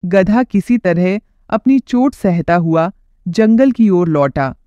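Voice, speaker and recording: A narrator telling a children's moral story in a lively storytelling way. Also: medium pitch, takes it slowly, dry recording, lo-fi sound.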